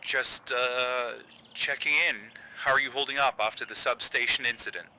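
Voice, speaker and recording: An actor playing a cautious, defensive park operations manager on a radio call in a horror drama, measured and officious. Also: male voice, medium pitch, fairly even intonation, pushed and bright. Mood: cautious, probing. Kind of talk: found-footage horror audio drama. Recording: noisy radio transmission.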